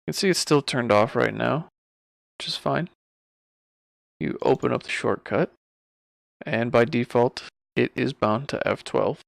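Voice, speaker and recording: A man talking informally and offhandedly through a software tutorial as he works at his computer. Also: mid-range voice, measured pace, creaky, long pauses, dry recording, clean studio sound. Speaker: streamer giving a software tutorial. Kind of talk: casual screen-recorded tutorial.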